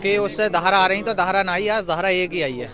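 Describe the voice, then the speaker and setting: A man's voice: high voice, normal speed. A young man, an exam candidate giving a plain-spoken, complaining informal review. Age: young adult. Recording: busy outdoor street, crowd and traffic noise.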